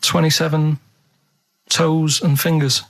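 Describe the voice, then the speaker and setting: A man's voice: on the high side, flat delivery, takes it slowly, creaky, a bit bright, rough recording. A man telling rambling anecdotes on the radio, earnest, matter-of-fact and hesitant. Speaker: radio show regular. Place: radio studio.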